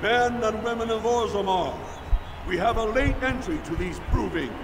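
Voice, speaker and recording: Fantasy game tournament announcer: formal, respectful, high-pitched, big reverberant hall. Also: male voice, moderate pace. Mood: proudly announcing. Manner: formal, ceremonious, deferential. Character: formal dwarf arena master, respectful announcer. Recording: long hall-like reverb under game music.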